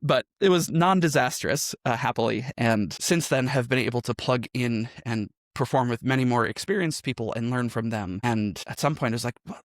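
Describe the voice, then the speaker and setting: A man's voice: mid-range voice, normal speed, hardly any pauses, no echo, clean studio sound. A man telling his story as a podcast guest in a conversational, reflective way, often checking in with a "right?" as he explains. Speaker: podcast guest, improv teacher and game master.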